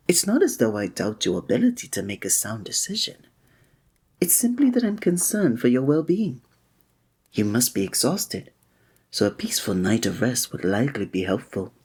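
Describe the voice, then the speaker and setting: A man's voice: on the low side, darkish tone, breathy edge, exaggerated voice. A man playing a flirtatious, courteous innkeeper in a fantasy village, speaking formally in a scripted ASMR roleplay addressed to the listener. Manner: formal, old-fashioned, teasing, suave diction.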